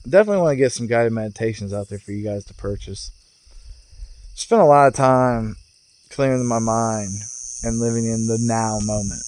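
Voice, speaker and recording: A man speaking as a vlogger in a casual, off-the-cuff spiritual monologue, musing in broken phrases with pauses. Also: medium pitch, a little mellow, rough recording. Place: outdoors, sitting in a rocking chair. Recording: outdoor recording with chirping insects. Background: cicadas or crickets.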